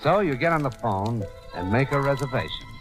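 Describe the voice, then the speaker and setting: A man's voice: medium pitch, lively intonation, a little fast, lightly acted, rough recording. A man acting as a hard-boiled storyteller, narrating a vintage radio drama in the second person. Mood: measured, suspenseful. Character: hard-boiled storyteller addressing a police reporter. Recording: old radio recording with background music. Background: dramatic background music.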